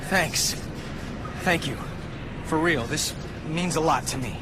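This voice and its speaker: A man's voice: extremely high pitch, very animated, fairly quick. A man with an American accent playing an earnest, anxious, devoted superhero in a video game. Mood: relieved, grateful. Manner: earnest, emotional. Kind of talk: dramatic video game acting. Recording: game audio under loud music. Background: loud dramatic music.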